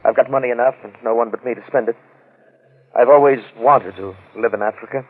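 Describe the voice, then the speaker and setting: A man playing a brooding, obsessed wealthy recluse in an old-time radio drama, speaking in a halting, grave, intense manner. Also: mid-range voice, lightly acted. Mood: obsessed, resolute. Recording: muffled vintage radio drama recording.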